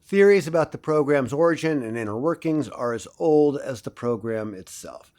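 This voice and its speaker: Man acting audio-drama professor, male, middle pitch, self-assured, didactic, theatrical classroom delivery. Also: expressive, normal speed, darkish tone, lightly acted. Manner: didactic, theatrical, prompts listeners with questions. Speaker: university lecturer.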